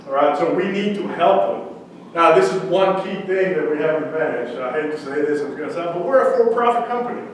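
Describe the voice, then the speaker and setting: A man from central Mexico whose first language is Spanish, giving a lively startup pitch and quizzing the audience. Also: fairly quick, far from the mic, slight background noise. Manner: conversational, quizzes the audience with questions. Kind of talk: energetic startup pitch talk. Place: event room with audience. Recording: echoey room, speaker away from mic.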